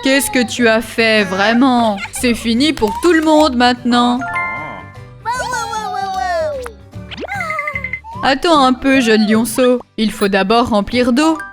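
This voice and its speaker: A woman's voice: on the high side, unhurried, few pauses, close to an everyday voice. A woman voicing a bossy, playfully stern grown-up who minds little ones in a rhyming children's cartoon, scolding and instructing. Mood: scolding, protective. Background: music.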